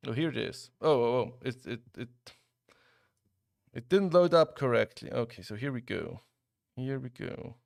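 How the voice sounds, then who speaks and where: A young man from Switzerland streams a game live and talks loosely with chat, picking up his thoughts again partway through. His voice is gravelly with a lot of fry and sits at an ordinary pitch. The sound is dry and close to the mic. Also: moderate pace, no room sound. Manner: relaxed, chatty, talks to chat, frequent restarts. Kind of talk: casual live-stream commentary while gaming.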